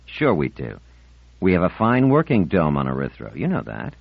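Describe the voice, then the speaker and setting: A man's voice: sits low, huge pitch swings, normal speed, creaky, no room sound, narrow, tinny audio, full character voice. A man voicing a cheerful, teasing teenage boy in an audiobook, breezy and playfully reasoning.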